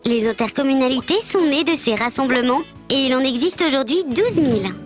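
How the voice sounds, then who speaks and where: A woman's voice: high-pitched, expressive, fairly quick, faint music behind. A woman voicing a friendly bird mascot who presents scripted civics explainers in a didactic, structured way. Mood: instructive, friendly. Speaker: presenter of an educational programme. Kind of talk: scripted educational narration.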